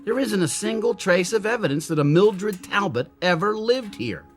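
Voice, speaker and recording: A man's voice: high voice, animated, moderate pace. Confused worked-up young man in a radio drama, voice jumps around a lot, music behind. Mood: baffled, frustrated. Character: bewildered, agitated young man doubting his own sanity.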